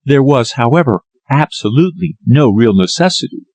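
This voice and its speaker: A man's voice: mid-range voice, animated, normal speed, a little mellow, no echo, lightly acted. A man reading an audiobook, voicing a distressed young woman who speaks in an earnest, anxious, sorrowful way.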